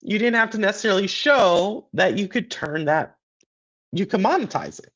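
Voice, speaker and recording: A man hosting a casual gaming podcast, his throat sore and his voice hoarse and deeper than usual, talking in a rambling way with many fillers and restarts. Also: animated, normal speed, a bit bright, lo-fi sound. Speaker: podcast host and streamer. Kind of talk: casual gaming and business commentary.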